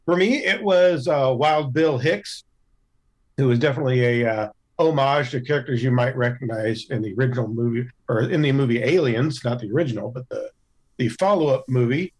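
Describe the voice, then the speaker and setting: A man chatting casually as a podcast cast member, in halting, thoughtful phrases. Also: mid-range voice, darkish tone, husky, low-quality recording.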